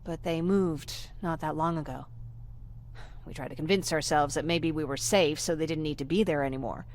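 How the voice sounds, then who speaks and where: A woman's voice: sits low, moderate pace, a little hiss or hum. An actress playing a weary young female scavenger surviving a war in a video game, earnest in manner. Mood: resigned. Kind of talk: video game cutscene acting.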